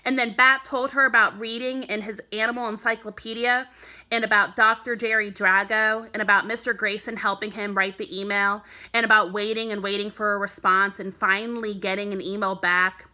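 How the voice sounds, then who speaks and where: A woman teacher narrating a children's book aloud, telling the story clearly for young listeners. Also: medium pitch, steady tone, moderate pace, bright, forceful tone. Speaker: elementary school teacher.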